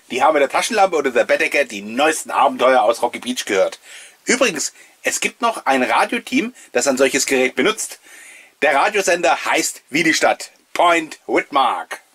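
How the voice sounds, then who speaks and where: Nostalgic middle-aged man, raspy-edged and dry-sounding. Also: medium pitch, fairly bright tone, no echo. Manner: wistful, enthusiastic reminiscing. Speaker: host of a collectors' video channel. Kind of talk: casual nostalgic voice-over commentary on an old catalogue.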